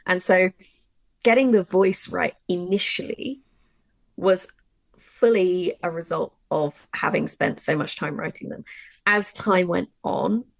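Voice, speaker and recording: Woman, low register with vocal fry, informal and emphatic workshop speaker who illustrates her points. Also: a little slow. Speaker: audio drama writer leading a workshop. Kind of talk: conversational workshop discussion.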